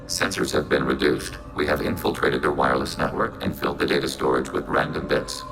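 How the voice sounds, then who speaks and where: A man's voice: rumbling low, fairly quick, gravelly, music in the background, rough recording. A man playing a synthetic machine intelligence in a video game, speaking in literal, formal, emotionless phrases through a robotic filter. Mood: detached, logical.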